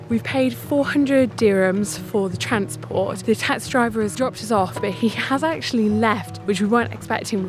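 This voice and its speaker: Woman in her thirties, travel vlogger, chatty and upbeat, outdoors with background music, medium-pitched voice. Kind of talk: hiking vlog narration. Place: outdoors on a mountain trail. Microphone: phone camera at arm's length.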